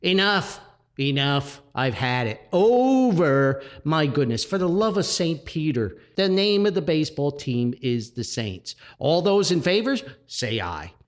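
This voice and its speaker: A man's voice: fairly high pitch, very animated, measured pace. A man voicing a weary, exasperated pastor running a church meeting in a comic story. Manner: exasperated, emphatic.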